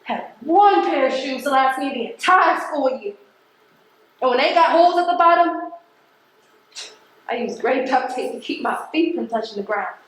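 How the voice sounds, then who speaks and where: A woman's voice: high voice, expressive, normal speed, pauses often. An actress on stage playing a defiant, embittered working-class woman postal carrier from the projects, speaking in an emphatic, confrontational, colloquial way. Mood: bitter, defiant. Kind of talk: stage play acting. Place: theatre stage. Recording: very echoey stage recording.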